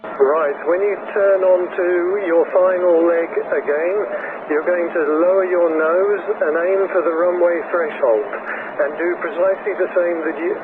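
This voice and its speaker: A man's voice: high-pitched, measured pace. A man working as a flight instructor, talking a student through the landing in direct, step-by-step guidance. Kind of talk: instructional radio talk. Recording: tinny radio with heavy static.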